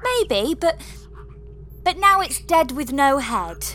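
A woman's voice: slow pace, exaggerated voice. A woman playing a blunt, sarcastic, exasperated space engineer in a comedy sci-fi audio drama. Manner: deadpan sarcasm rising to shrill exasperation.

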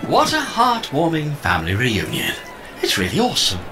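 A man's voice: on the high side, huge pitch swings, moderate pace. Brief: fussy, polite sci-fi companion machine, male actor, understated yet very sing-song, music behind. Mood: prim, earnest. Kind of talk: audio comedy drama acting.

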